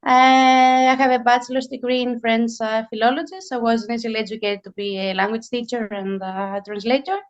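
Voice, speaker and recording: High-voiced Greek woman in her forties. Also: fairly even intonation, fairly quick, few pauses, lo-fi sound. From Greece. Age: 40s. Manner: thoughtful, frequent hesitations. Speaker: guest author. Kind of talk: casual interview chat.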